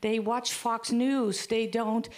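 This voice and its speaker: A woman from the Netherlands in the audience, asking a heartfelt, halting question from the floor. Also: fairly high pitch, fairly even intonation, darkish tone. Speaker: audience member.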